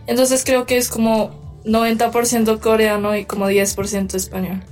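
Teen girl answering casually, kinda high voice, faint music. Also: little pitch movement, normal speed, a little mellow. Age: teens. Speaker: student being interviewed.

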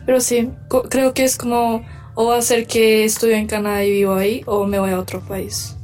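A woman's voice: fairly high pitch, flat delivery, normal speed, a little mellow, soft background music. A teenage girl answering interview questions casually, sounding a little unsure. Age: teens. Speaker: student being interviewed.

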